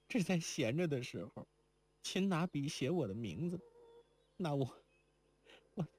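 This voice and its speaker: A male reader voicing a heartbroken young woman in tears, by turns pleading and sharp-tongued, in a storybook reading. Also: medium pitch, big pitch swings, normal speed, slightly rough, pitched above the performer's own voice. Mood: tearful.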